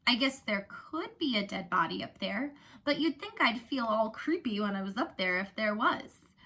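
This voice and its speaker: A woman reads the part of a young schoolgirl from a storybook, sensible and wry with a temper underneath. The voice is bright and fairly high, with earnest, emphatic delivery. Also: sing-song, moderate pace, slightly rough, exaggerated voice.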